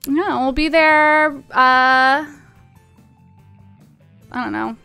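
A woman's voice: high-pitched, drawn-out words, vocal fry, bright, forceful tone, soft background music. A woman in her twenties chatting casually and informally as she streams a game, with plenty of "like" in her speech. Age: 20s. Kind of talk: casual live-stream chat while playing a game. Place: small indoor room at home.

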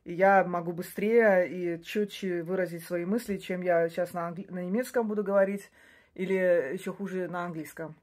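A woman's voice: on the low side, fairly even intonation, normal speed, a little mellow. A woman in her forties, a native Russian speaker, talking casually to her phone camera in a small indoor room. She rambles, restarts sentences and laughs briefly between points. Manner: chatty, rambling, frequent short laughs and restarts. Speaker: social media creator. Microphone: phone camera close up.

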